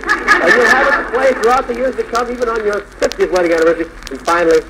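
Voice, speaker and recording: Noisy 1940s radio, fast high-voiced male host. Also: steady tone. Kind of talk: 1940s radio hosting, announcing gifts.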